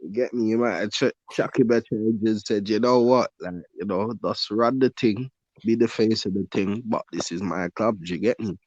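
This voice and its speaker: A man's voice: fairly low pitch, moderate pace, darkish tone, no room sound, muffled recording. A man chatting casually about football in slangy speech full of conversational tags. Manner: slangy, often tags phrases with "you know what I mean". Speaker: podcast panellist.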